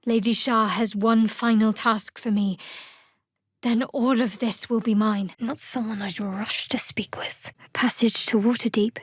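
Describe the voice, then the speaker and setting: An actress playing a guarded, sardonic young woman cleric of a dark goddess in a video game. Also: fairly high pitch, fairly even intonation, slightly rough, no room sound. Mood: hushed. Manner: dry, sardonic, measured. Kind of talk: video game character dialogue.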